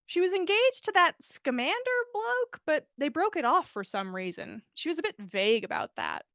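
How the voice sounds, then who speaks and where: A woman voicing a brisk, amused young female friend in a story, teasing and informal. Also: sits high, very animated, a bit bright, no echo, clean studio sound, pitched above the performer's own voice, full character voice. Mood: amused, curious.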